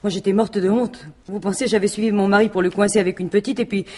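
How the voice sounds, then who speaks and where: An actress playing a defensive, jealous French widow in a radio mystery, talking in halting, colloquial phrases. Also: female voice, mid-range voice, fairly even intonation, darkish tone, a little hiss or hum, close to an everyday voice. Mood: confiding. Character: defensive, jealous widow, plain-spoken businesswoman. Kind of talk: 1950s-style French radio mystery acting.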